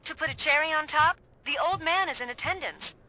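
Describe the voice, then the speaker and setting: A woman playing a brisk, wry police colleague in a video game, talking informally and conspiratorially. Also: sits high, pushed and bright, slight background noise, low-quality recording. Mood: eager, wry.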